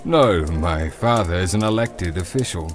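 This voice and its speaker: Casting: man as mild young fantasy adventurer, deep but animated, polite, curious, clear music behind. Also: normal speed. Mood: matter-of-fact. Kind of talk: visual novel character acting. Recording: voice under prominent music.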